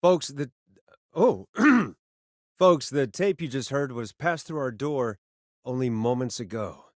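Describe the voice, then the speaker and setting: A sardonic late-night radio host from a video game, with a mid-pitched voice that swings expressively. He is wry, conversational and quick to get exasperated, recorded close and dry. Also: animated, no room sound. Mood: apologetic, uneasy.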